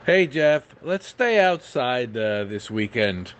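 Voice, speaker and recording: A man's voice: mid-range voice, very animated, takes it slowly, lo-fi sound, soft background music. A man giving an informal podcast report on local events, chatty and enthusiastic, with frequent hesitations.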